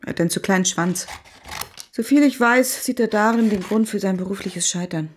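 A woman's voice: mid-range voice, a little fast, a little hiss or hum, close to an everyday voice. An actress playing a blunt, knowing female confidante in a radio drama. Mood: blunt, probing.

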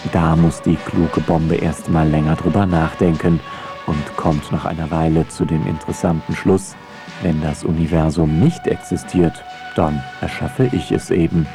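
A man narrating a scripted radio feature in a wry, measured manner. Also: extremely low pitch, fairly even intonation, over music.

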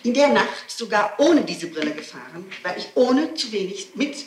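A woman's voice: mid-range voice, big pitch swings, normal speed. A woman being questioned gives her statement emphatically and insistently, repeating herself as she goes. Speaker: person being questioned by police. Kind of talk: statement under interrogation. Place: echoey interrogation room. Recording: noisy, echoey archival tape, distant mic.

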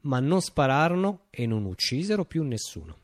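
An actor playing a visionary man recounting his utopian revolution in a declamatory, deliberate radio monologue. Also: mid-range voice, very animated, no echo, studio quality, natural voice. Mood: measured, wry. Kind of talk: theatrical radio monologue, dramatic storytelling.

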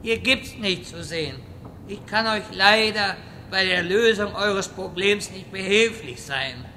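A man's voice: high-pitched, unhurried, pushed and bright, a little hiss or hum. A man playing an irritable, sardonic wizard who lectures his companions in a German audio drama parody. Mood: annoyed. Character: exasperated, sarcastic male wizard guiding bumbling adventurers.

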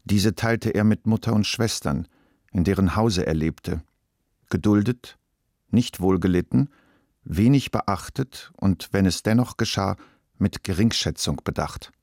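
Male narrator, very low register, literary radio play, very dry studio acoustic. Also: measured pace, a little mellow, dry recording.

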